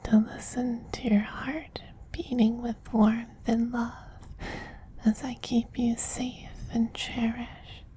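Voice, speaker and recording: A man voicing a doting, possessive femboy vampire queen in a soft, breathy whisper, slow, tender and gently commanding, as in an ASMR roleplay. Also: very high voice, flat delivery, a little hiss or hum. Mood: tender, affectionate.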